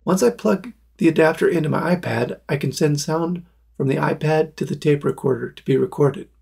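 Male tutorial presenter, forties, very husky and breathy with some creak, plain explanatory delivery. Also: a little high, moderate pace, darkish tone. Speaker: video maker presenting a tutorial.

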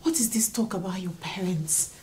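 An actress playing a young wife who reproaches her husband for mistreating his mother, moving from hushed whispers to shrill scolding. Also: normal speed, lightly acted. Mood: reproachful. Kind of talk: melodrama acting.

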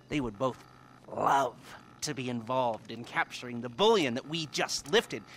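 Sharp-voiced, halting criminal schemer. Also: male voice, fairly high pitch, a little hiss or hum. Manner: halting, deliberate, emphatic. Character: anxious scheming middle-aged male crime planner. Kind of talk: video game cutscene acting.

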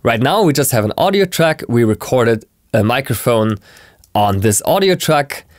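A man in his thirties giving a conversational, explanatory music software tutorial in an expressive way. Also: medium pitch, normal speed, no echo, studio quality. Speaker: music production tutorial presenter. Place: small home studio, indoors.

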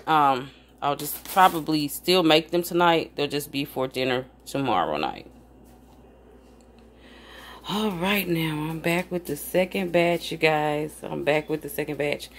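Woman vlogger, low voice, slow casual chat, folksy and enthusiastic, home kitchen, noisy recording with crinkling. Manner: folksy, enthusiastic about food. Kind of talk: casual grocery haul chat to viewers. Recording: clear background noise, crinkling packaging.

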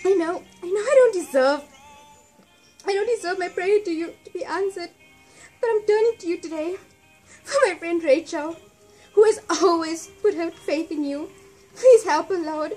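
A woman playing a grieving puppet friend, halting and pleading, in an amateur puppet show. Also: extremely high pitch, dark tone, soft background music, rough recording.

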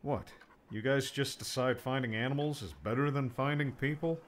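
A man's voice: medium pitch, normal speed, a little hiss or hum, pitched above the performer's own voice, natural voice. A man voicing a weary, wry middle-aged father in an audiobook, grumbling dryly. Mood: skeptical.

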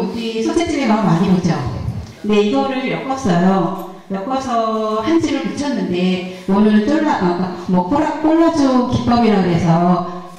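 A woman's voice: on the high side, far from the mic. Chatty woman in an echoey hall. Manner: chatty, self-deprecating, giggly, explanatory. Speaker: flower arranging instructor presenting her work. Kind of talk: casual seminar talk. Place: large church hall, indoors. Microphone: handheld mic, heard through hall speakers.

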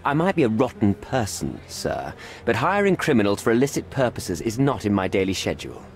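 An actor playing a middle-aged envoy in a video game, formal, evasive and smooth-talking, with a placating, pleading manner. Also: male voice, fairly low pitch, very animated, a little fast. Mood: defensive. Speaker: British envoy. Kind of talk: video game cutscene acting.

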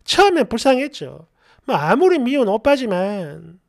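A man's voice: very high voice, big pitch swings, normal speed, creaky, darkish tone, no room sound, studio quality. A middle-aged man hosting a story channel, reading a first-person story aloud in a colloquial, exasperated, dramatized way with asides.

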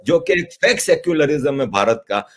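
A middle-aged man interviews a guest over a video call. His voice sits a little high and moves up and down with animation as he builds deliberate, leading political questions. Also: big pitch swings. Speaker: interviewer, host. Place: indoor room at home. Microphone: video call device.

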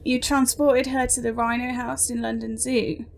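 A little demon minion for a comic audio play. She is servile yet complaining, with a very high, crackly voice and unhurried pace. Some background noise sits behind her. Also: little pitch movement, creaky. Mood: scolding. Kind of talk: comic audio drama acting.

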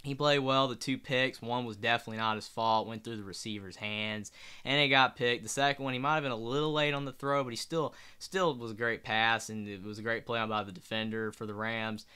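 A young man talks sports into his webcam from a small bedroom. His voice is bright and crisp, and his speech is rambling and earnest, full of restarts. He sounds tired from the late hour. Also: medium pitch, fairly even intonation, brisk. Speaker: sports channel host. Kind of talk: casual solo sports commentary. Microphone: webcam at desk.

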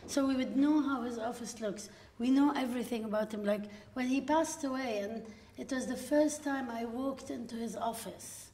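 A woman from Lebanon in her forties speaks at a panel event, her voice sitting a touch high. She tells stories in a lively way, acting out quoted lines. The sound comes from a stand mic in an echoey gallery room. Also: moderate pace, slight background noise. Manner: lively storytelling with frequent laughs and quoted asides. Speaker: panel guest, filmmaker. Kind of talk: live panel conversation. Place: gallery room with seated audience. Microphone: stand mic at a distance.

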